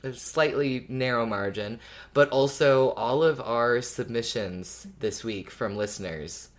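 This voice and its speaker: Guy co-hosting a podcast, chatting casually, measured and easygoing, talks things through. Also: medium pitch. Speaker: podcast co-host.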